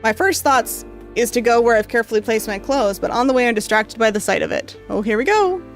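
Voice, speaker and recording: Girl streamer reading a game out loud, kinda high voice, getting hoarse, lots of "like" and "um", quiet music behind. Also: expressive, a little fast. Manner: casual, rambling, heavy on fillers. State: losing her voice, coughing. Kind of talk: reading a visual novel aloud and chatting with viewers.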